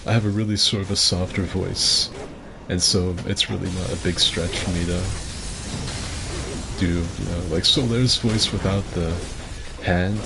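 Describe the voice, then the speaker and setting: A man streaming and chatting casually, rambling and self-deprecating, with a gruff voice. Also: low voice, steady tone, measured pace, over music. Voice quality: gruff, gravelly. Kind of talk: casual chat with viewers.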